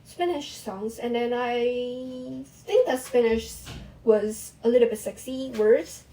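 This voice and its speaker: Slow-talking young woman, non-native English. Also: on the high side, warm tone, a little hiss or hum, low-quality recording. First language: Mandarin Chinese. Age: young adult. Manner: halting non-native English with restarts and small laughs. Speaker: vlogger. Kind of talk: casual personal monologue.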